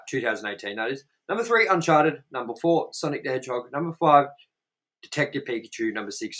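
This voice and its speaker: Man, casual review host, crisp bright voice, middle pitch, joking, swears a lot. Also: rough recording. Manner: jokey, loose and swears freely. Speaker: show host. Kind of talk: casual movie review chat.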